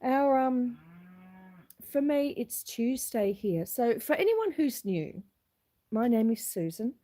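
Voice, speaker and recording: Husky-voiced Australian woman with a cold. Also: fairly high pitch, big pitch swings, slow pace, mellow. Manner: chatty, greeting viewers one by one. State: hoarse from a cold. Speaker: homestead vlogger hosting a live stream. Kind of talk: casual live-stream chat. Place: outdoors on a rural homestead. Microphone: phone camera.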